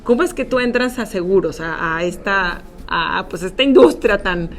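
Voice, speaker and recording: A woman hosting an interview show in an upbeat, casual way, often filling pauses. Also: mid-range voice, expressive. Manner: upbeat, with frequent filler words. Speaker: podcast host. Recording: faint background noise.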